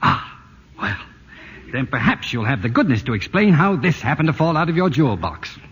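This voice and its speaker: A man's voice: mid-range voice, big pitch swings, normal speed, a bit bright, breathy edge, big-room sound, muffled recording, full character voice. An actor playing a flustered, jealous suburban husband and father in a 1940s radio play, stammering when caught out. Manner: flustered and stammering, then sheepish. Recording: hissy old radio broadcast.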